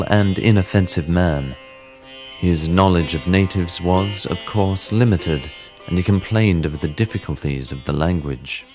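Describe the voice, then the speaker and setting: Male narrator, low register, theatrical and measured delivery for classic horror, over a soft sitar bed. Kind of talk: dramatic reading of a classic horror story. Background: sitar music.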